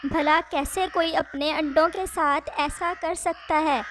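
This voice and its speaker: A woman's voice: sits high, fairly even intonation, slow, a bit bright, slight background noise. A woman voicing an emotional, protective mother sparrow in a children's cartoon. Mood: shocked, distraught. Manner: emotional, pleading, emphatic. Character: protective, anguished mother sparrow, tender and defiant. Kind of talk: Hindi moral-story cartoon dubbing.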